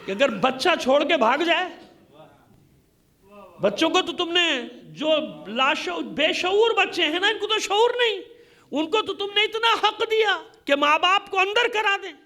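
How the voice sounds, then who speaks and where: Man, very high-pitched voice, sharp forceful tone, impassioned sermon, emphatic repetition. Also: expressive, unhurried. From Pakistan. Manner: emphatic, repetitive, rhetorical questioning. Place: congregation hall, speaking to a gathering.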